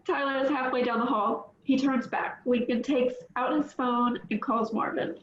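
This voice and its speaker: A woman reads the stage directions in a plain, matter-of-fact way. Her voice sits fairly high. The audio sounds roomy and muffled. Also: steady tone, moderate pace, slight background noise. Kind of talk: reading stage directions at a table read.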